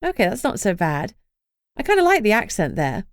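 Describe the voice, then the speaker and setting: A woman's voice: medium pitch, wildly swooping pitch, fairly quick, dry recording, studio quality, voice pushed up. Woman voicing a brash, cheeky young heroine. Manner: emphatic, irreverent.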